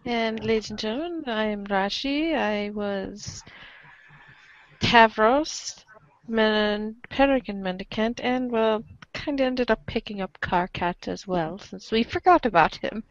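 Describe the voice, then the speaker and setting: A woman's voice: mid-range voice, measured pace, highs cut off. A woman, an amateur cast member, casually introducing herself.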